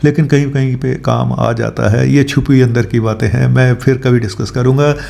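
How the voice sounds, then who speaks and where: A man's voice: medium pitch, steady tone, moderate pace, gravelly, a little mellow. A man speaking as a doctor on his health show, explaining informally with English medical terms mixed in and frequent tag questions.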